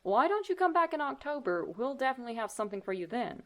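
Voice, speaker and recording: Polite, apologetic male homeowner of forty played by a woman, deliberate delivery, horror storybook reading. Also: fairly high pitch, very animated. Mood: apologetic, friendly. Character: polite forty-year-old family man, apologetic homeowner.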